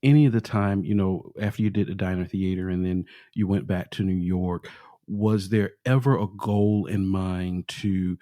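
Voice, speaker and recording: Brief: very deep, mellow host, dry close mic, wordy questioner. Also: male voice, steady tone, moderate pace, dry recording. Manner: long, winding, explained questions. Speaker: podcast host. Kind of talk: interview questions.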